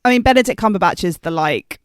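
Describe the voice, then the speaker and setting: A man's voice: high-pitched, animated, fast talker, clean tone, no room sound, clean studio sound. A man, chatting casually as a co-host of a film podcast. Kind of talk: casual chat.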